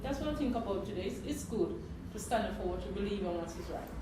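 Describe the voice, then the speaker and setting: A woman with a medium-pitched voice speaks earnestly in a group discussion. Her voice comes from away from the mic, in a very reverberant room with clear noise. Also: animated, quick pace. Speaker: community member speaking at a gathering. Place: echoey indoor room. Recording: very echoey room, distant mic, noisy.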